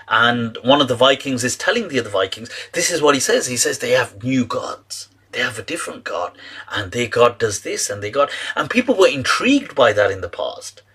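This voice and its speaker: A man giving a casual lecture as a religious commentator, animated and full of restarts, laughing at the claims he disputes. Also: on the high side, breathy edge.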